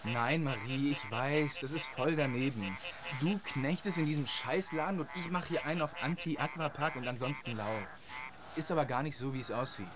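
An actor playing a scruffy, excitable young male activist who rambles in slang in a radio drama. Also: medium pitch, moderate pace, a crowd in the background, lightly acted. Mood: defensive, rambling.

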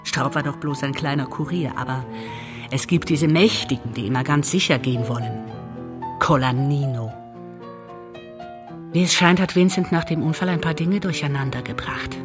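Woman acting weary woman confessing, low pitch, intimate, resigned, bitter edge, radio drama, music clearly underneath. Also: steady tone, moderate pace, lightly acted. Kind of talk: radio play monologue.

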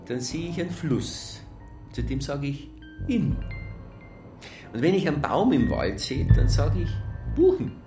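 A plain-spoken Bavarian townsman holds forth in a roomy hall. He is deliberate and sardonic, drawing words out slowly with a very lively melody. It sounds like a folksy radio play speech. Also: mid-range voice. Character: plain-spoken, stubborn male Bavarian townsman speaking up at meeting. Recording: roomy hall with faint music.

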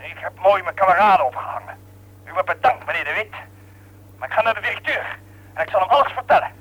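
An actor playing a defiant young worker in a radio drama, accusing and sarcastic, bitter at being betrayed. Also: male voice, high-pitched, expressive, quick pace, fairly bright tone, slightly rough, full character voice, low-quality recording.

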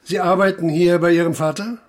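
An actor playing a persistent police inspector in a radio play, questioning in a measured, formal way. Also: male voice, high-pitched, steady tone, lightly acted. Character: probing male police inspector investigating a murder. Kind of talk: German radio play acting.

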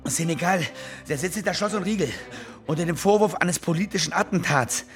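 A man acts out an anxious, loyal young clerk in a radio drama. His voice is high and urgent, over faint music. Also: brisk, close to an everyday voice. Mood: alarmed, urgent. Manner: agitated, urgent. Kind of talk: German radio drama acting.

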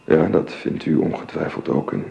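Middle-aged remorseful gentleman, very low gravelly voice, formal halting speech, radio drama, roomy sound. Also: full character voice. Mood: contrite. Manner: hesitant, stammering, formally polite. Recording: dull, roomy, noisy radio drama recording.

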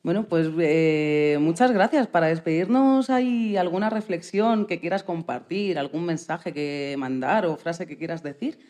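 A radio interviewer speaks at a middle pitch. The delivery is conversational and earnest, moving between questions and reflective commentary. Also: measured pace, hardly any pauses, darkish tone.

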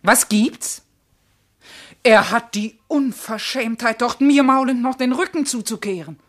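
Eine Schauspielerin spielt in einem klassischen Vershörspiel eine empörte, scharfzüngige Dienersfrau, die theatralisch und mit Nachdruck schimpft. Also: female voice, fairly high pitch, a little slow. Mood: irritated. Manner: scolding, theatrical, emphatic. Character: scolding, indignant servant's wife, fiery and sharp-tongued. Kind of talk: classical German verse comedy radio acting.

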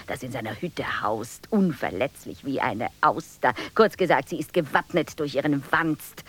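An actress playing a brooding, sardonic, anxious woman in a radio play monologue, with a breathy, ruminating delivery. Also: sits low, heavily acted. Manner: theatrical, ruminating, drawn-out emphatic phrasing.

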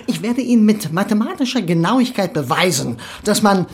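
Effusive high-voiced chatterbox husband, swooping intonation. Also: male voice, big pitch swings, normal speed. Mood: opinionated, exuberant. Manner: effusive, emphatic, garrulous. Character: effusive, talkative Russian émigré husband, smugly besotted. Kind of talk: German radio drama acting.

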